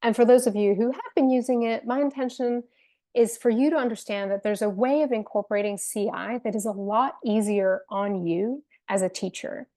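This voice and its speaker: Woman running a teacher webinar, kinda high voice, earnest, some ums, laptop mic on a call. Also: mellow. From family from Venezuela, raised in Spain and the UK. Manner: earnest presenter, with some fillers and restarts. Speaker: webinar presenter, company co-founder. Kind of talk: online teacher-training webinar.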